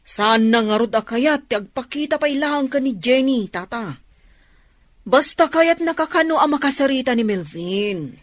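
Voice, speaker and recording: A woman's voice: high-pitched, animated, normal speed, no room sound, clean studio sound. A woman acting a helpful, candid young go-between with an Ilocano Filipino accent in a radio drama. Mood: reassuring, persuasive.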